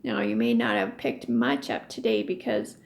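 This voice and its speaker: A woman's voice: mid-range voice, big pitch swings, moderate pace, gravelly. A woman teacher reading a story aloud to children, stopping to explain and ask questions. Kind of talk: read-aloud lesson for children.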